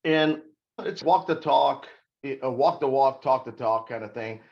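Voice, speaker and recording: A man's voice: medium pitch, measured pace, gravelly, lo-fi sound. A middle-aged man from Brazil, a guest on an interview podcast, talking emphatically about leadership and making self-deprecating jokes. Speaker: corporate security guest.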